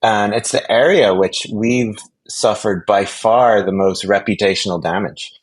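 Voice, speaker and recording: A man with a low, husky voice talks as a guest in a casual interview. He is animated and emphatic, often doubling back on his words and rambling as he works out a thought. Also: a little slow. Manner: animated, emphatic, rambling with false starts and repetition. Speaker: interview guest, product executive.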